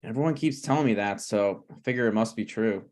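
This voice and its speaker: A man's voice: fairly low pitch, brisk, a little mellow, lightly acted. A man playing a stubborn, snarky broke writer with dry, needling delivery in a comedy table read. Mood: sheepish, dry.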